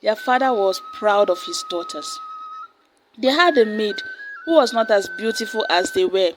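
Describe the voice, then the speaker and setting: A woman narrating a folktale in a steady, plain storytelling manner. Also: a little high, normal speed, rough recording. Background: soft music.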